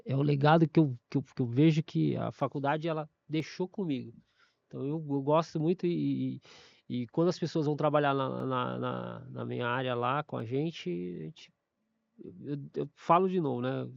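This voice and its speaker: A man's voice: fairly high pitch, gravelly, a little mellow, no room sound, lo-fi sound. A man, a university staff member giving a casual testimony, often stumbling, restarting and repeating words.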